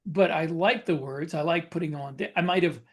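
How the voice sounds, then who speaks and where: A man's voice: on the high side, moderate pace. A man co-hosting a podcast in casual chat, thinking aloud in a reflective, conversational way. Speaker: podcast co-host and writer.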